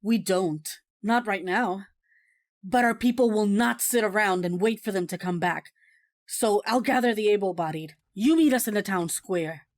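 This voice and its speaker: A woman's voice: mid-range voice, normal speed, close to an everyday voice. A woman playing the grave, resolute mayor of an embattled city in an audio drama, earnest and firm. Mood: grave resolve.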